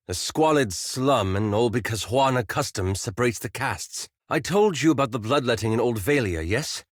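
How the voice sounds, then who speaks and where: A man playing an earnest, cautious, scholarly adventurer in a fantasy role-playing game, speaking formally and articulately in measured, courteous phrases. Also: mid-range voice, no echo, studio quality, natural voice. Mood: urgent reproach. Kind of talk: scripted video game character dialogue.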